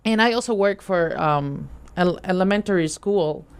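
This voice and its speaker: A woman's voice: lowish voice, normal speed, clear, resonant tone. A woman from Venezuela, a guest on an interview who talks hesitantly and often restarts her sentences. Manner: hesitant, searching for words, many restarts. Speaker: guest, nonprofit art director. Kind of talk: casual interview talk.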